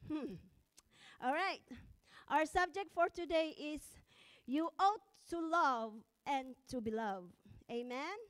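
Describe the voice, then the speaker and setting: A woman's voice: high voice, a bit bright. A middle-aged woman pastor with a Filipino accent, whose first language is Ilocano, preaching a church sermon with nervous laughter and fervent prayer.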